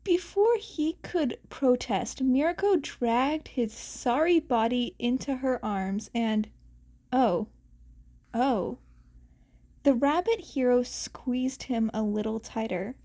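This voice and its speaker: Slow-paced woman reading a story. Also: a little high, expressive, warm tone. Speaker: fan fiction reader. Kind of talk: expressive story narration.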